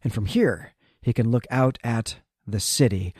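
A man's voice: medium pitch, unhurried, darkish tone, husky, dry recording. Man, mid-range voice, dry close sound, casual book recap, chatty, enthusiastic. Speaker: podcast co-host. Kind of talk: casual literary recap and discussion.

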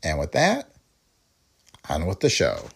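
A man's voice: very deep voice, huge pitch swings, takes it slowly, vocal fry, breathy edge. A man reading a scripted podcast introduction as the host. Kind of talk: scripted narration.

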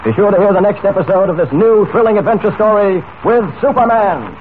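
A man's voice: sits high, lively intonation, brisk. A man announcing in a suspenseful, emphatic 1940s radio serial narration style. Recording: tinny 1940s radio recording with hiss.

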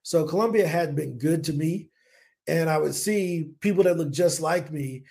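An energetic man in his forties from the United States, telling his business story on a podcast. His voice sits slightly high for a man and has a gravelly edge. He speaks casually and acts out what he was thinking at the time. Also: moderate pace. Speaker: podcast guest, business owner. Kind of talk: casual interview talk. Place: home in Colombia.

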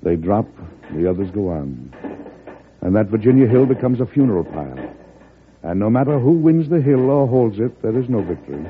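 An actor playing a weary, resolute wartime president in a vintage radio drama, measured and grave with a stern edge. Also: male voice, lowish voice, sing-song, slight background noise. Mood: somber. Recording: muffled, narrow-band old radio broadcast.

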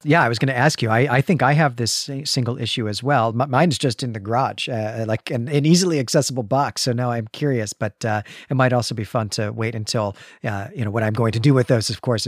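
A man's voice: medium pitch, dry recording, studio quality. Man chatting casually as podcast host. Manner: casual, thinking aloud with hedging asides. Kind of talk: conversational comics discussion.

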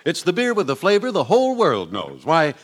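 A man's voice: a little high, huge pitch swings, a little fast. A man with an American accent delivering jaunty 1940s radio advertising patter. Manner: jaunty, rhyming sales delivery. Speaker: commercial pitchman. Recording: vintage radio broadcast recording. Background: music.

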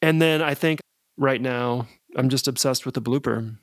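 An American man speaks casually as a guest in an interview. His voice sits at a middle pitch, and the recording is dry, with very little room sound. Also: moderate pace, dry recording. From United States (Nebraska). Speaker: podcast guest, guitar effects pedal maker.

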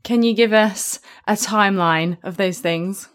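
A woman's voice: medium pitch, measured pace, smooth. A woman hosting a podcast, introducing her guest and asking friendly, conversational questions. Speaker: podcast host.

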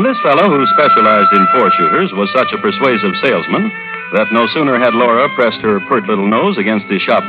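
A man hosting and narrating an old-time radio show in a wry, droll, deliberate storytelling manner. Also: medium pitch. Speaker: host and narrator. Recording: tinny vintage radio recording.

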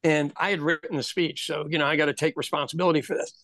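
A middle-aged man hosting a podcast in casual conversation, telling stories with lively energy and acting out the voices of people he quotes. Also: on the high side, quick pace, dry recording. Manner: animated storyteller, acts out quoted voices. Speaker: podcast host.

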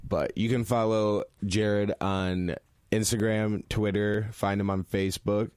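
A man hosting a podcast, upbeat and chatty, laughing off his own stumbles. His voice sits fairly low. The sound is close and dry. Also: level tone, unhurried, a little mellow, clean tone, dry recording. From Holland, Michigan. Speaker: podcast host. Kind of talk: casual interview chat.

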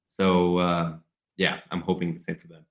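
A very low-voiced man from Ecuador, a native Spanish speaker who talks with an American English accent. He explains his business in a measured, businesslike way, with frequent ums, and his voice carries a roomy echo. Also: monotone. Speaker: guest, travel company executive. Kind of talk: podcast interview.

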